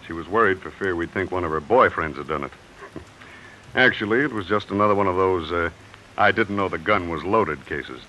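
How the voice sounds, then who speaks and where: An actor playing a shrewd, easygoing police lieutenant in a 1950s radio drama, relaxed and drawling. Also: male voice, sits low, moderate pace, slight background noise, rough recording.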